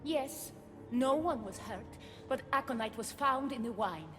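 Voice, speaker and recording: A woman's voice: on the high side, animated, measured pace, a bit bright. An actress playing an imperious, suspicious goddess queen of the underworld in a video game, with a commanding, cutting, theatrical delivery. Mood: cold, suspicious. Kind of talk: dramatic video game acting. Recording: long hall reverb, music behind.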